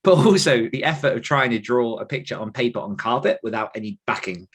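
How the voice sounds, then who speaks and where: A man's voice: mid-range voice, lively intonation, a little fast, breathy edge. A man in his thirties chatting casually and reflectively on a podcast, rambling and self-deprecating in manner. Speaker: podcast host and author telling his own story.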